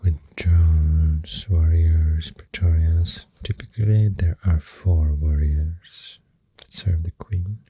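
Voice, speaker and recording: An extremely low, mellow voice with a Swedish accent speaks slowly in soft ASMR style. It slips mostly into a breathy whisper, halting and soothing, close to the microphone. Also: steady tone, dry recording. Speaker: ASMR artist drawing while talking. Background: pencil writing and drawing sounds.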